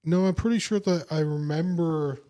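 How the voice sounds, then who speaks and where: Male comedy podcast co-host, relaxed dark timbre, easygoing filler-heavy banter. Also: on the high side, normal speed, rough recording. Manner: laid-back, filler-heavy, wisecracking. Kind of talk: casual comedy chat.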